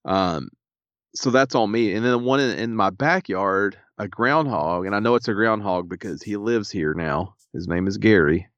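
A man, co-hosting a podcast in casual chat, a little stuffy and raspy, laid-back with dry humor. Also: lowish voice, normal speed, a bit bright, no echo, lo-fi sound. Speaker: podcast co-host.

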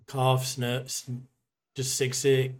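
A man's voice: medium pitch, completely flat delivery, drawn-out words. A man co-hosting a podcast in a casual, conversational style. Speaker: podcast producer and co-host.

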